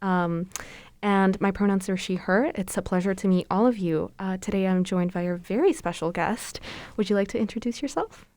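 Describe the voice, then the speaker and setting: A woman's voice: medium pitch, normal speed, a little mellow, no room sound. A young woman co-hosting a podcast, chatty and upbeat with frequent fillers. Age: young adult. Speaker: podcast co-host. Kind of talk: casual podcast interview.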